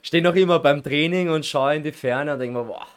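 A man's voice: fairly high pitch, brisk. A man in his late twenties from Vienna with a Viennese accent, chatting casually as an interview guest. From Vienna, Austria. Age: late 20s. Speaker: American football player, interview guest. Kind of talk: casual chat.

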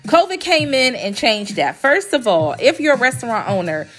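A woman's voice: a little high, very animated, normal speed, soft background music. A woman hosting a casual podcast, sounding congested as she recovers from illness, chatty and emphatic, repeating phrases for stress. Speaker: podcast host, graphic design company owner. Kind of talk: casual solo podcast talk.

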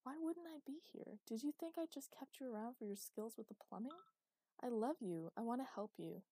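A woman's voice: fairly high pitch, normal speed, mellow, husky, dry recording, clean studio sound, voice pushed up. A woman reading a man's lines, a blunt and exasperated partner, in a storybook reading. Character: man, gruff worried partner.

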